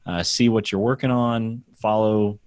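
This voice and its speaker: A man's voice: lowish voice, fairly even intonation, unhurried, darkish tone, no room sound. A man hosting a podcast, joking dryly and leading the conversation. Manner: dry, joking, wraps up the interview. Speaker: podcast host. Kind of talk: casual podcast chat.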